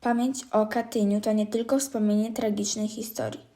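A woman's voice: mid-range voice, steady tone, normal speed, mellow. A young woman delivering a solemn scripted address in an earnest, formal manner. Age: young adult. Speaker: speaker in a commemorative radio play.

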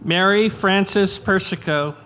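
A man formally reading out graduate names and degrees in measured, clear tones at a podium microphone in a large outdoor tent. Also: high voice, low-quality recording. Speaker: name reader at a graduation. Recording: crowded outdoor tent, loud background noise. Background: crowd noise and applause.

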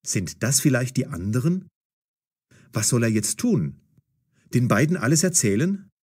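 A man narrates a story for language learners. His mid-pitched voice is a little breathy and husky, with a lively, dramatic melody over short sentences. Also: a little slow.

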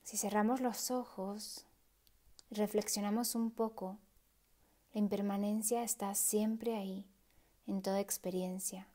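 A woman leading a guided meditation in a soft, hushed, breathy voice with pauses. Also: medium pitch, steady tone.

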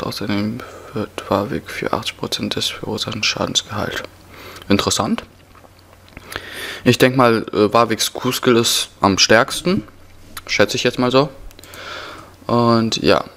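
A man's voice: on the low side, vocal fry, pushed and bright. A young man with a cold, casually commentating his gameplay in slang, muttering to himself. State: has a cough and a cold. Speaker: let's player. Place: indoors at a computer, voice over gameplay. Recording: noisy home recording, keyboard typing.

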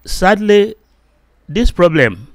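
A man in his fifties with a Nigerian Yoruba accent, giving a solo teaching video into a close desk microphone, slow and halting with many fillers, growing louder and more forceful as he goes on. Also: sits high, sing-song, no echo. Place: small indoor room.

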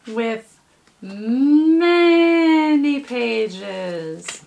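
Slow-talking woman, playfully theatrical. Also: fairly high pitch, expressive, creaky, a little mellow, dull audio. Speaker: puppeteer presenter. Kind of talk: casual explainer. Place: home kitchen, indoors.

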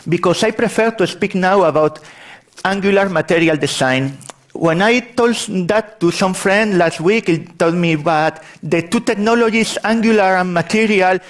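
A man's voice: sits high, moderate pace. A man from Spain with a Spanish accent, giving a technical conference talk in a large hall, often restarting his sentences. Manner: apologetic about his English, frequent restarts. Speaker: conference speaker.

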